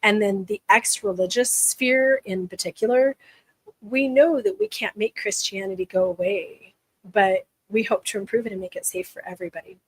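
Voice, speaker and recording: A woman's voice: mid-range voice, expressive, moderate pace, slightly rough, dry recording, rough recording. Blunt, candid woman with a tired voice. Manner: candid, conversational, with frequent restarts. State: losing her voice. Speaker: livestream host. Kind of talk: casual live chat answering viewers.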